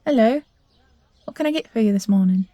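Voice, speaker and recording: A woman's voice: fairly high pitch, lively intonation, fairly quick, mellow, no room sound. A woman voicing a friendly food stall vendor, breezy and welcoming, in a scripted fantasy audio roleplay. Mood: cheerful, welcoming.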